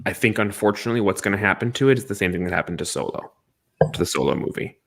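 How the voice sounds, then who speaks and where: Man, low-pitched voice, casual opinionated co-host, emphatic delivery, repeats himself for stress. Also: lively intonation, racing, gravelly, a bit bright. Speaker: podcast co-host reviewing movies and games.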